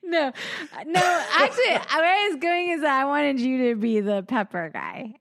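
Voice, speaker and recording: A woman co-hosting a relaxed podcast, her voice sitting a little high. She talks in playful, rambling bursts, full of "like" and half-finished thoughts. She grew up in South Carolina. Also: normal speed. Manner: chatty, heavy use of "like", playful. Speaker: podcast co-host. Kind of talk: casual chat.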